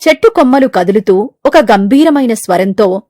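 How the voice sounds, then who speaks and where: A woman tells a children's fable as narrator. Her voice is high, and the sound is dry and close, in a scripted storytelling style. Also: lively intonation, normal speed, warm tone, no room sound.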